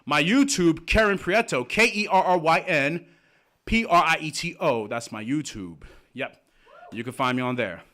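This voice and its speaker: A woman's voice: low voice, animated, normal speed, fairly bright tone. A woman singing on stage and leading the crowd in call and response. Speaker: singer performer. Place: small performance venue, indoors.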